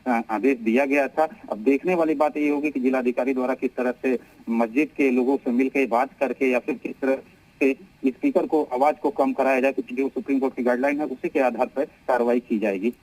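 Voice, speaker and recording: A man reporting live to the studio as a correspondent, in a halting, repetitive way. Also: mid-range voice, fairly even intonation, a little mellow, poor audio. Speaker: news correspondent.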